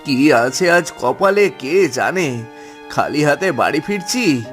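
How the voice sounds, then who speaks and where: A man plays a poor, honest village fisherman for a cartoon story. The voice is high and bright, swinging up and down with earnest, plaintive pleading. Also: animated, takes it slowly, faint music behind. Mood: pleading, despairing.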